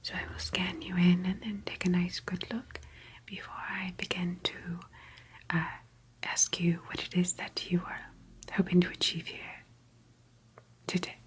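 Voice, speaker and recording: Whispering, slow-paced woman playing office agent. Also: fairly low pitch, little pitch movement, natural voice. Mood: calm, methodical. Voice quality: whispered, breathy. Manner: unhurried, hesitant, officious, softly polite. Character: slow, meticulous, prim female time-travel office agent. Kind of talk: ASMR roleplay.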